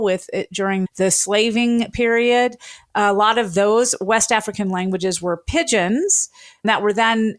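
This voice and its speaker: A woman, speaking as an interviewer and linguist who explains ideas in an articulate, teacherly way. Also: medium pitch. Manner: articulate, didactic.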